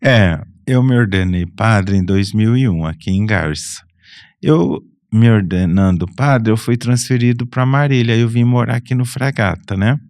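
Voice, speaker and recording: Low-voiced Brazilian friar telling his story. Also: a little mellow, no echo, studio quality. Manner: unhurried, with frequent restarts and self-corrections. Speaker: Franciscan friar and priest, podcast guest. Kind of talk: relaxed personal storytelling.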